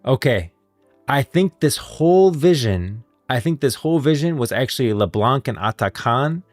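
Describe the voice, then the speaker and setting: A man's voice: mid-range voice, animated, moderate pace, soft background music. A man streaming casual live commentary on game lore, rambling and repeating phrases as he reasons aloud.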